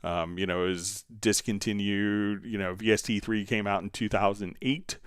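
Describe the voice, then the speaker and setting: A man's voice: lowish voice, steady tone, moderate pace, clean tone, no echo, clean studio sound. A man hosting a live question-and-answer stream, talking casually and explaining things in an informal, rambling way with asides. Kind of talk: casual unscripted Q&A talk, reading out viewer questions.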